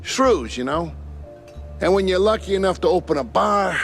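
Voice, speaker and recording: An actor playing a weary, kindhearted working-class mouse bartender in a noir game, talking in folksy slang. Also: male voice, sits high, expressive, moderate pace, gravelly, soft background music. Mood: resigned, kindly.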